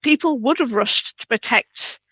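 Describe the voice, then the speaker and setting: A woman's voice: on the high side, measured pace, pushed and bright, breathy edge, dry recording. A woman hosting a casual interview, talking in loose, conversational phrasing on a slightly lo-fi microphone. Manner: loose phrasing with frequent "kind of like". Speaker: interview host. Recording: dull, slightly lo-fi close microphone.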